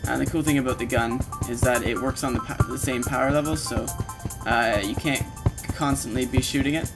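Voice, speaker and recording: A man giving a casual, informal walkthrough of a software demo, explaining things step by step to the listener as if they were the player. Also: medium pitch, fairly even intonation, a little fast. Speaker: presenter of a video walkthrough, game sound designer. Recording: loud game music under the voice. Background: video game music and sound effects.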